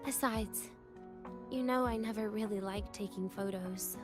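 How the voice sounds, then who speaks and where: A woman's voice: mid-range voice, steady tone, unhurried, over music, natural voice. A woman voicing a cool, teasing young female student in a video game, with a dry, composed delivery. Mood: dry, reflective.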